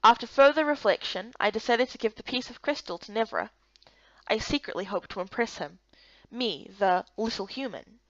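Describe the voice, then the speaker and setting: Female narrator for visual novel story, fairly high and lively, dry and slightly dull recording. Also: normal speed, no room sound. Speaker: narrator and lead character reader.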